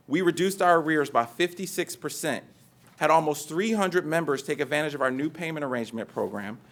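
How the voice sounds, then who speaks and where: A man speaking as a union treasurer candidate in a debate, assertive and dismissive of his opponent. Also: a little high. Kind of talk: debate statement.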